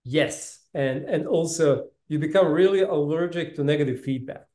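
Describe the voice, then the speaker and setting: A man's voice: on the high side, moderate pace, dark tone. A man in his fifties with a Balkan accent, telling his story casually as an interview guest, often restarting his phrases. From Bosnia. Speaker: interview guest, tech business executive. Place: indoor room, video call. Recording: roomy, reverberant video call audio.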